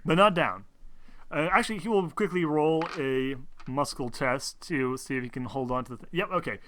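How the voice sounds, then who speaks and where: A man's voice: a little high, normal speed, fairly bright tone, slight background noise. A man acting as game master in a tabletop roleplaying session, casually narrating and explaining rules.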